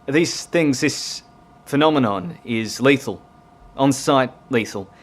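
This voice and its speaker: A man's voice: mid-range voice, lively intonation, slow. An Australian-accented man playing a courteous, grave navy commander in an audio drama, polite and plain-spoken.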